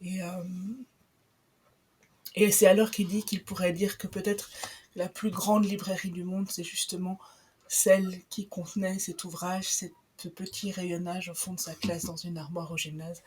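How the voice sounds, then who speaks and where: A woman's voice: fairly low pitch, level tone, a little slow, darkish tone, slightly rough. A young woman on a radio book show, talking about a book with many fillers and restarts. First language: not Italian. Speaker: guest presenting a book. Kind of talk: live radio book chat.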